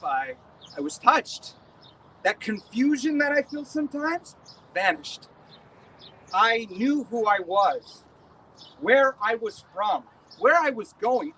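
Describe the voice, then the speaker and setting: A man's voice: high voice, pushed and bright, far from the mic, a little hiss or hum, full character voice, rough recording. A man on a live outdoor stage, playing a smug man who boasts about a relic he stole, in a theatrical monologue.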